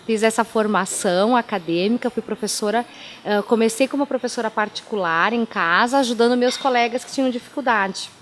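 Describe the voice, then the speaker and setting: A woman's voice: a little high, brisk, slight background noise. Chatty woman with a German mother tongue. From Timbó, southern Brazil. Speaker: pastor, interview guest. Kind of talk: radio interview, life storytelling.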